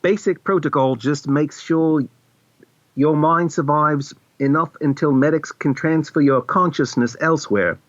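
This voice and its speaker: A man's voice: a little high, measured pace, no room sound, lo-fi sound, close to an everyday voice. A man playing a matter-of-fact female spaceship computer who recites things formally, in a comedy radio play. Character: female ship computer co-host, matter-of-fact life-pod AI.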